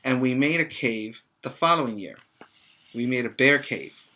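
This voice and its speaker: A man's voice: mid-range voice, animated, normal speed, sharp tone, rough recording. A middle-aged man, a preschool teacher, reading a children's book aloud and explaining it to young children in an instructive way with check-in questions.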